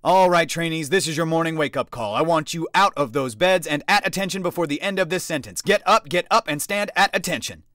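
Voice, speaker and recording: A high, blaring drill sergeant bellows commands in a dry, close sound, as a narrator puts him on. He is harsh and commanding, every order a roar. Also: lively intonation, fast, hardly any pauses, no room sound, close to an everyday voice. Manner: barking, roaring orders.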